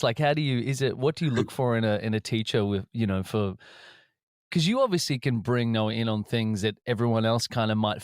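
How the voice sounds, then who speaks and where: A man's voice: mid-range voice, no room sound, studio quality. A man interviewing two musicians in a casual, rambling, enthusiastic way.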